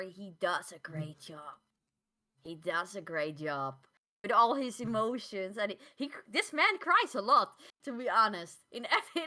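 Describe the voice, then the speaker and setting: A woman co-hosting a podcast in casual, halting discussion, repeating phrases for emphasis. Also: a little high, bright, forceful tone. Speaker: podcast co-host.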